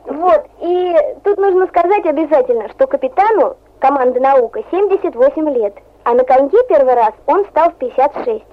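A woman journalist interviewing a guest on the radio and asking questions in a brisk, polite way. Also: high-pitched, lively intonation. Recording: dull old radio recording.

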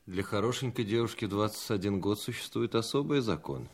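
Gallant young bachelor, very expressive pitch. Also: male voice, fairly quick. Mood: admiring, earnest. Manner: polite, earnest, gallant. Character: courteous, admiring thirty-one-year-old bachelor gentleman. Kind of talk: acted radio-play style dialogue. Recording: music playing behind the voice.

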